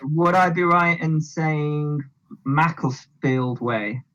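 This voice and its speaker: A man's voice: on the high side, slow pace, fairly bright tone, highs cut off. A man co-hosting a podcast, chatting casually, asking teasing questions and laughing easily. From northern England. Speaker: podcast co-host.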